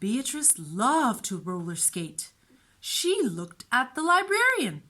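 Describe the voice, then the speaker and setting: A woman storyteller narrating a children's storybook in an expressive, deliberate way. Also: fairly high pitch.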